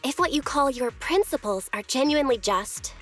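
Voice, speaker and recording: A poised, idealistic young heroine with a high voice and expressive swings in pitch. She is earnest and sure of herself, in game dialogue over light music. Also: normal speed. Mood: resolute. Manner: earnest, self-assured. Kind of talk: video game voice acting. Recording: music behind the voice.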